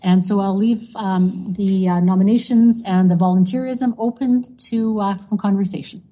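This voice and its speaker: Mellow husky woman chairing a meeting. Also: mid-range voice, fairly even intonation, moderate pace. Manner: procedural, many fillers and thank-yous. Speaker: board chair. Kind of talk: chairing a formal board meeting. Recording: dull lo-fi meeting recording.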